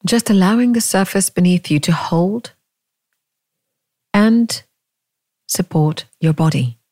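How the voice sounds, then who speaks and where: A woman's warm, mellow voice guides a meditation at an unhurried crawl, and she leaves long pauses between gentle, inviting questions. The recording is close and dry, with no room sound. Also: fairly low pitch, no room sound. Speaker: meditation teacher.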